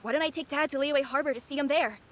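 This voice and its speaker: A woman playing a young boy from an anime game, high and boyish. The character speaks earnestly and politely, with a worried, pleading manner. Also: quick pace, close to an everyday voice.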